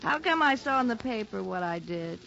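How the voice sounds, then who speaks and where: An actress playing a loud, tipsy, insistent tavern woman in a 1950s radio drama. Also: fairly high pitch, big pitch swings, normal speed, gravelly, heavily acted. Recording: dull old 1950s radio recording.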